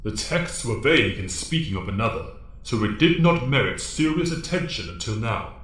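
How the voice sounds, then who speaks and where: An actor playing a stern, fatherly alien mentor in an audio drama, speaking formally and with measured authority. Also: medium pitch, very animated, a bit bright, reverberant. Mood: grave, instructive.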